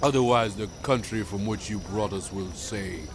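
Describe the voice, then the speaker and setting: A man's voice: lowish voice, background noise, natural voice. A male actor playing a stern prophet addressing his people in a dramatized scripture reading, with grave, emphatic oratory. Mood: earnest pleading. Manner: grave, deliberate, emphatic phrasing.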